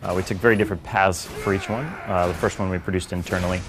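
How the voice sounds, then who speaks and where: A man's voice: low voice, steady tone, fast. A man speaking as an audio director in a behind-the-scenes interview. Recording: clear background ambient noise.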